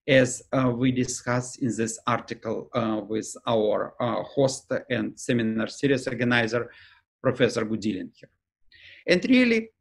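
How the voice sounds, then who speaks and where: A middle-aged man from Ukraine with a Ukrainian accent, giving a remote academic lecture over slides on a webcam, fluent but dropping articles and pausing with "uh". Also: mid-range voice. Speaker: professor and lecturer. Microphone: video call webcam.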